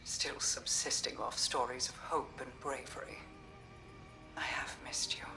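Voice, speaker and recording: Faint music sits behind a solemn video game queen. She is formal and guarded, a protective elder sister whose measured words often turn breathy and hushed. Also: extremely low pitch, steady tone, in a whisper, exaggerated voice, rough recording. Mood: tender, solemn. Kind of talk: dramatic game dialogue.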